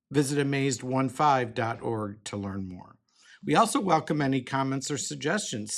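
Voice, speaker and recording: A man's voice: medium pitch, creaky, fairly bright tone. A man hosting a church podcast, welcoming his guest in a friendly way with frequent filler words. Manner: friendly, filler-heavy, says "uh" often. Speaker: podcast host.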